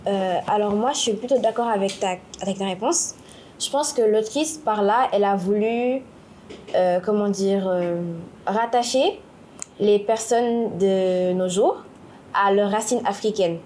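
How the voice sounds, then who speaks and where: A woman's voice: medium pitch, a little slow, warm tone, a little hiss or hum. A young woman in a classroom book discussion, thoughtful and searching for her words.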